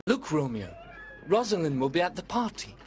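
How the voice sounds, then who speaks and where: A man's voice: fairly high pitch, very animated, normal speed, darkish tone, slight background noise. A man playing a loyal, concerned young cousin in a storybook, with an earnest, inquisitive manner. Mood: coaxing. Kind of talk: storybook dramatization.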